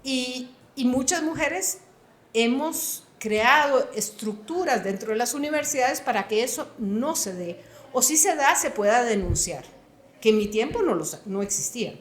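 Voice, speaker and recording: A woman's voice: on the high side, expressive, a little slow, roomy sound. A woman in her sixties with a Costa Rican accent, a retired computing professor answering interview questions in an earnest, emphatic way. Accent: Costa Rican Spanish. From Costa Rica.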